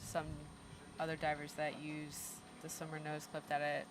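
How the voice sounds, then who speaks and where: A soft-spoken woman co-commentating a live freediving event, chatty and well informed. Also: deep voice, monotone, moderate pace. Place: outdoors at a seaside dive event. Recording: noisy outdoor live stream.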